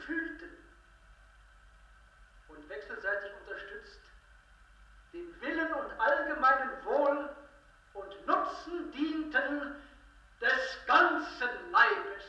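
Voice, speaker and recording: Theatrical actor declaiming verse super slowly, bright high voice, solemn, radio drama. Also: male voice, exaggerated voice. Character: stage actor grandly declaiming Roman orator's verse. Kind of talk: slow classical stage declamation in a radio play. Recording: very echoey, dull, distant.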